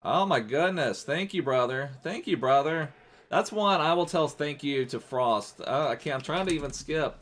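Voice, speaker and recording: A man's voice: on the high side, expressive, normal speed, a bit bright. Chatty male game streamer. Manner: chatty, rambling, greets and thanks viewers. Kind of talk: casual live-stream gaming chat.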